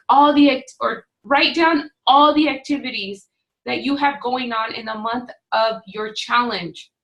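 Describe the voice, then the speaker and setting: A woman in her forties, a fitness coach talking straight to her webcam from a small home office, firm and no-nonsense, her voice slightly hoarse. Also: fairly high pitch, fairly bright tone, dull audio. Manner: firm, no-excuses, pep-talk delivery. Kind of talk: direct-to-camera coaching talk. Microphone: webcam at desk distance.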